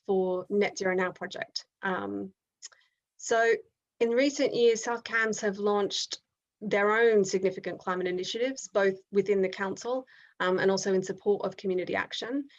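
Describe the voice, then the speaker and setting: A woman's voice: mid-range voice, highs cut off. A woman with an Australian accent hosting an online event on a video call, talking with frequent ums and restarts. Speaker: event host.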